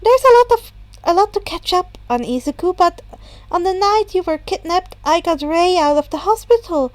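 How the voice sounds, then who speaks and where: Kindly mum, read by a woman narrator, high voice, gentle and polite, a little flustered, dry recording. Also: lively intonation, normal speed, no echo. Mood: explaining. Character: kindly, softly chuckling mother of a teenage boy.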